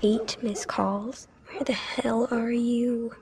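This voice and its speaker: A woman's voice: a little high, level tone, slow, darkish tone, a little hiss or hum. A female actor playing an anxious, questioning young girl in a video game. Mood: confused. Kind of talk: naturalistic dramatic game acting.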